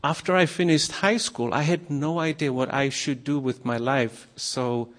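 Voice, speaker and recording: A man from Iceland, a former missionary, giving a nervous, halting sermon to a congregation with many pauses and fillers. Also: mid-range voice, fairly even intonation, a bit bright. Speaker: guest preacher.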